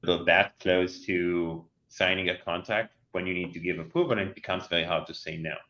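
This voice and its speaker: Israeli-accented male guest, deep, forceful and explanatory. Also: steady tone, a little fast. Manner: explanatory, with frequent restarts. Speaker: guest, company CEO. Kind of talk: interview answers. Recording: dull lo-fi sound.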